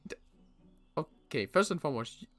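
A man playing a wary adult survivor in an improvised game roleplay, talking in a wry, halting way with frequent restarts. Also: on the high side, expressive. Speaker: roleplay player.

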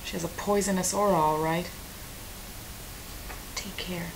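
A woman's voice: on the low side, fairly even intonation, measured pace, gravelly. An actress playing a caring but frank and skeptical woman neighbour in a naturalistic audio drama. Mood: concerned, skeptical. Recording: hissy, noisy background.

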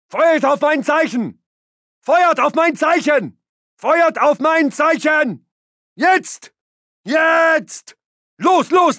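An actor playing an aggressive, urgent German Wehrmacht soldier barking commanding battle orders in a video game. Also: male voice, very high-pitched, a bit bright, dry recording, clean studio sound, heavily acted.

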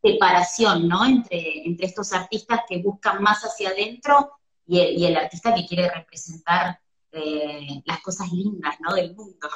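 A woman in her thirties with a Rioplatense Spanish accent hosts an interview over a video call. Her voice sits a little low, and she feels her way through long questions. The room around her sounds roomy and echoey. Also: measured pace, fairly bright tone. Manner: thoughtful, searching for words. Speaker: host, interviewer. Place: room at home.

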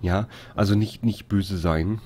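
A man's voice: deep voice, a little slow, warm tone, slight background noise. A man streaming a game live, chatting casually and rambling with many fillers while he greets viewers. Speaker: let's play streamer. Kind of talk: casual live-stream commentary over gameplay.

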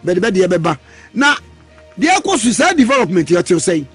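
A man with a Ghanaian accent and a husky voice, chatting casually as a radio interviewer. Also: high-pitched, big pitch swings, normal speed, soft background music.

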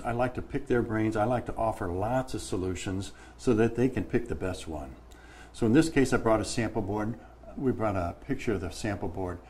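A practical, plain-spoken man in his fifties explains his work as the guest of a studio interview. His voice sits low and mellow. He is picked up on a lapel mic with a faint hum of room noise behind him. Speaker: painting contractor guest. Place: bright studio set at a table.

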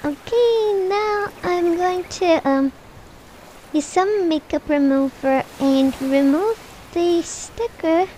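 A woman playing the stubborn, dramatic little daughter in a homemade cartoon family roleplay video, very shrill and slow, faint noise behind her. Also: mellow. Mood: excited. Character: sassy, stubborn young daughter who loves makeup. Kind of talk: amateur voiced family roleplay.

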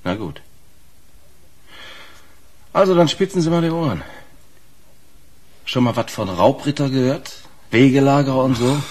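An actor playing a gruff, wary truck driver in a radio crime drama, talking in blunt, colloquial slang. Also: male voice, medium pitch, expressive, a little slow, long pauses, a little mellow. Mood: knowing, blunt.

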